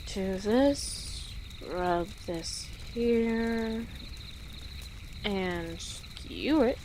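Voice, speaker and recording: Girl doing a chill friendly orc lady guide, audio roleplay, slow gentle talk, birds and outdoor sounds behind. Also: medium pitch, animated, noisy background. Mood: gentle instructing. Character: easygoing female orc traveling guide, rugged and friendly. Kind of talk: audio roleplay, speaking to the listener. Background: birds and rural outdoor ambience.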